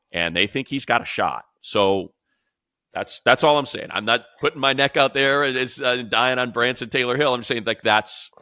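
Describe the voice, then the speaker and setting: Bright, low-voiced man talking sports. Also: dry recording, clean studio sound. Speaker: sports talk show host. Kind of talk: casual sports talk, opinionated chat.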